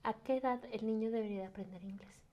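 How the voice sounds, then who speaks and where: A woman hosting a podcast, telling lively stories and asking guiding questions in casual conversation. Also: a little high, fast talker, muffled recording. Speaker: podcast host.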